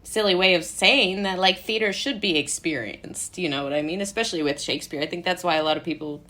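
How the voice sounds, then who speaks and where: Low-voiced young American woman, chatty. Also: quick pace. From Brooklyn, United States. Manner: animated, giggly, rambling storytelling with frequent "like". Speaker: interview guest, playwright and actor. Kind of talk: casual chat.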